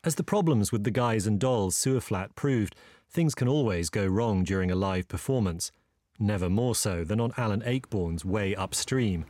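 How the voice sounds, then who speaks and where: A man narrating a documentary in a measured, informative scripted delivery. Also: fairly low pitch, darkish tone, no room sound.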